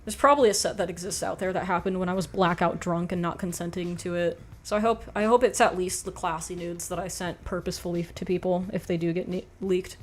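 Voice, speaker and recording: Quiet-voiced woman streamer, rambling chat. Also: lowish voice, steady tone, fast talker, hardly any pauses, soft background music. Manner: rambling, frank, laughs often, says "like" a lot. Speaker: livestream host reading chat. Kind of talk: casual chat.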